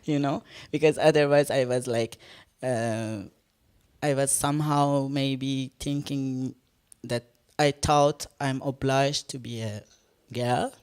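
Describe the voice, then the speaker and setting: A performance artist whose first language is Farsi, speaking English on a live panel, often pausing and searching for words. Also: mid-range voice, steady tone, a little mellow, clean tone. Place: stage talk before an audience, no microphones.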